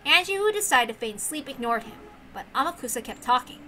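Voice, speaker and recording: A woman reading a visual novel aloud as an expressive narrator. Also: high voice, brisk, a little hiss or hum.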